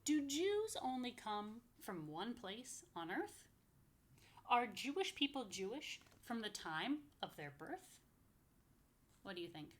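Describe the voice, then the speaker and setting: American woman with lively, animated pitch. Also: takes it slowly, slight background noise. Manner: expressive, asks listeners playful questions. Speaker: rabbi, story time host for young children. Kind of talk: children's picture book read-aloud with chatty asides.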